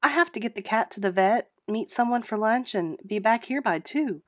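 A woman voicing a flustered but firm middle-aged female innkeeper in a storybook, brisk and emphatic. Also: a little high, expressive, dry recording, studio quality, voice pushed up, natural voice. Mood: flustered, polite.

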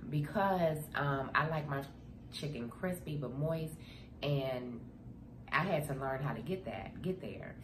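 A woman with a stuffy nose chats with her viewers in a low, relaxed voice, spinning stories. She is recorded indoors on a camera mic, and the room echoes. A steady noise hums behind her. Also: unhurried. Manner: chatty, talks to viewers as "girl". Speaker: vlogger answering viewer questions. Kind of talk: casual chat, storytelling. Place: indoor room, seated before framed print.

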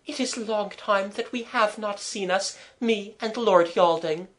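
A woman voicing a young French governess in a storybook, tender and soft-spoken, with a French accent and foreign phrasing. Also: medium pitch, measured pace, voice pushed up, close to an everyday voice. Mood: gentle, happy.

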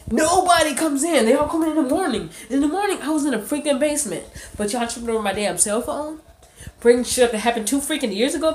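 A woman in her thirties telling a story as a casual, slangy rant to camera, indignant and emphatic, on a phone held at arm's length in a bedroom. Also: on the high side. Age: 30s. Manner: indignant, emphatic, slangy venting with mimicked quotes. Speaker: vlogger. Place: small bedroom, indoors.

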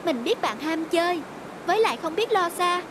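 A woman voicing a childlike, friendly rabbit in an animated fairy tale. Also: extremely high pitch, moderate pace, background noise.

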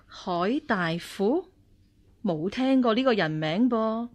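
An actress playing a stern, commanding Buddhist nun and martial arts master in a radio drama, speaking in an imperious, interrogating way. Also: female voice, on the high side, animated, slow. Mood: stern, probing. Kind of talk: Cantonese radio drama acting.

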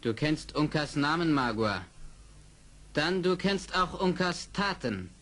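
An actor playing a proud, defiant young Mohican warrior in an audio drama, declaiming formally. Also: male voice, on the high side, animated, slow pace, fairly bright tone, exaggerated voice. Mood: proud defiance. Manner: declamatory, formal, speaks of himself by name. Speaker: actor in a radio play. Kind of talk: 1970s German audio drama acting.

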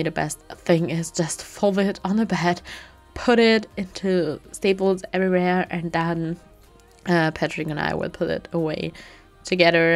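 A woman in her twenties narrating a home cleaning vlog in a relaxed, chatty voice-over, full of fillers. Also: sits low. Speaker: homemaking vlogger.

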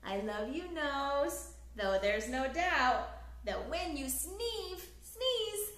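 A woman in her thirties reading a picture book aloud to young children in an expressive, sing-song way, recorded in a living room. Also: high voice, very slow, roomy sound, a little hiss or hum. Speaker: children's story time host. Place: small living room, indoors on a couch.

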